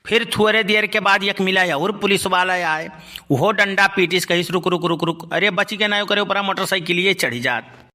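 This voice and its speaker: A man's voice: high voice, moderate pace, sharp tone. A man playing a folksy, rambling village uncle in a cartoon, with an Awadhi accent. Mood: folksy storytelling. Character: folksy village uncle telling tall anecdotes. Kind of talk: cartoon storytelling in rustic dialect.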